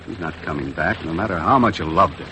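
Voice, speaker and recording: An American actor playing a tough, blunt, world-weary charter boat skipper in 1950s radio drama style, terse and hard-boiled. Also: male voice, sits low, expressive, quick pace, sharp tone. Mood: firm, blunt. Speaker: lead actor and narrator of a radio adventure. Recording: noisy vintage 1950s radio recording.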